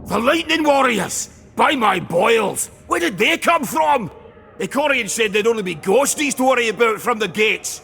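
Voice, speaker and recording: An actor playing a diseased, blustering plague lord villain in a fantasy game, theatrical and bellicose. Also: male voice, extremely high pitch, lively intonation, normal speed, vocal fry, sharp tone, in a large hall, slight background noise, full character voice. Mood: alarmed outrage.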